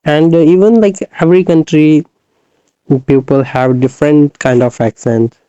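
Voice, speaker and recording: Dark-toned Indian man, dull dry audio. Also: fairly high pitch, fairly even intonation, moderate pace. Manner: chuckling, reassuring, hesitant with fillers. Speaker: host. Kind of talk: casual chat.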